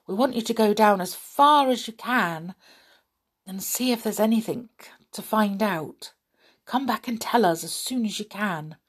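A calm, kindly male tree-dweller who speaks in a gently firm, unhurried way, as a woman reading aloud puts him on. The storybook voice stays close to an ordinary woman's pitch. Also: husky.

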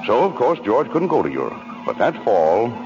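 Radio drama narrator, deep husky voice, dull vintage recording with music. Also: male voice, huge pitch swings, normal speed. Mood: measured, explanatory. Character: patient storyteller recounting a man's life to a listener.

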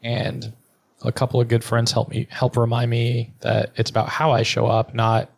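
A middle-aged man with a gravelly edge of vocal fry runs through his speech. He talks candidly and bluntly in a relaxed interview, cutting to the chase. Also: mid-range voice, normal speed. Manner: blunt and frank, with occasional swearing. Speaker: podcast guest, therapist and entrepreneur.

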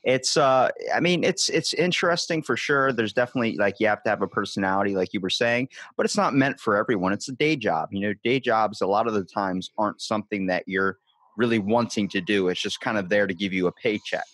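A young man hosting a casual podcast interview, telling crude jokes and stories with animated energy. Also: fairly low pitch, a little fast, no echo. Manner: animated, crude joking storyteller. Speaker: podcast host.

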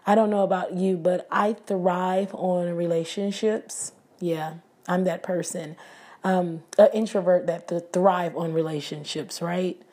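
A woman with a raspy voice hosting a casual devotional podcast, talking earnestly and prayerfully to her listeners. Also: on the low side, steady tone, a little slow, mellow. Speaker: podcast host. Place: living abroad, indoors.

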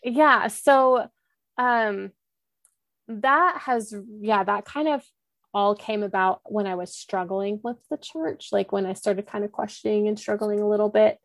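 A woman's voice: medium pitch, unhurried, vocal fry, dry recording. A young woman speaking candidly in an interview, earnest and reflective, with lots of "like" and restarts. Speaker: podcast host and guest.

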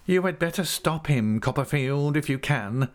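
A man's voice: on the high side, expressive, moderate pace, pitched above the performer's own voice, lightly acted. A man voicing a sulky, falsely humble schemer in a story, whining and insinuating with veiled threat. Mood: warning, insinuating.

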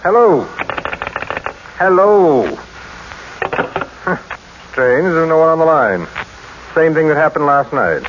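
Male radio-drama husband: measured, polite, suspicious, very expressive, on a noisy vintage recording. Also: fairly high pitch, dull audio. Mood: puzzled. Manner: measured, pointedly polite, insistent. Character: self-made businessman husband, outwardly genial, quietly suspicious. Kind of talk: 1940s radio drama acting.

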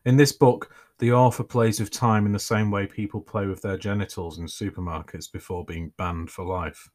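Man, deep low voice, unhurried, dry self-mocking delivery, podcast reading. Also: steady tone, a little mellow. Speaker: podcast host and author. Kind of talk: reading his own writing aloud.

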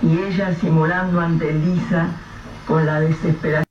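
Male Rioplatense narrator for a radio play, measured storytelling, dull sound with faint hiss. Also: fairly high pitch, little pitch movement, darkish tone, echoey room, distant mic. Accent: Rioplatense Spanish.

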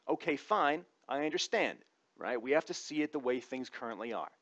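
A man's voice: mid-range voice, animated, brisk, fairly bright tone, lo-fi sound. A man giving a conversational comic opinion monologue in an informal, wry, sometimes profane way. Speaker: comedic commentator.

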